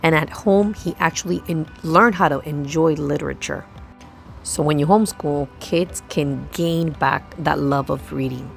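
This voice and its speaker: A woman's voice: low voice, unhurried, vocal fry. A woman narrating a vlog as a homeschooling mother, speaking in short emphatic phrases and posing rhetorical questions. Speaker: homeschooling mother and video host. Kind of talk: scripted-sounding narration for a vlog. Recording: music playing behind the voice.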